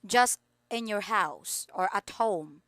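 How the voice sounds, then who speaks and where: A woman's voice: mid-range voice, takes it slowly, fairly bright tone, no room sound, studio quality. A woman teaching English in an online lesson, asking polite questions patiently over a video call.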